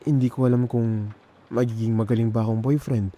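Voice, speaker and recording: A man with a Filipino accent playing a nervous, tender young man in an intimate ASMR boyfriend roleplay, speaking haltingly and repeating words. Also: mid-range voice, steady tone, warm tone, natural voice. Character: nervous, tender young man confessing love to male best friend. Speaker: ASMR audio roleplay performer.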